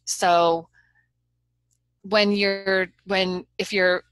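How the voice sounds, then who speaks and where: A woman's voice: mid-range voice, level tone, very slow, a bit bright, smooth, no echo. A woman trial lawyer as a guest in an interview, talking in an earnest, frank and persuasive way. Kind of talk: conversational interview.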